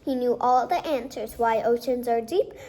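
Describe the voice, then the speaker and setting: A woman's voice: fairly high pitch, normal speed, dark tone. A woman reading a rhyming children's storybook aloud. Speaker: children's book reader.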